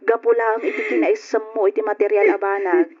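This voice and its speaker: An actress with an Ilocano accent playing a concerned, scolding friend in an old radio drama. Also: female voice, mid-range voice, steady tone, fast talker, natural voice. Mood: concerned advice. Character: scolding, concerned female friend giving frank advice. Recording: dull lo-fi old radio recording.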